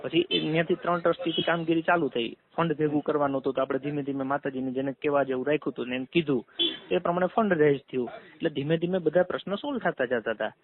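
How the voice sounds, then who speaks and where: A tinny telephone recording of a man with a Saurashtra Gujarati accent. He talks quickly in a slightly high voice. He explains insistently, point by point, posing questions and answering them himself. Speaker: community trust trustee. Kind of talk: explanatory phone conversation. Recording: tinny, narrow phone call recording.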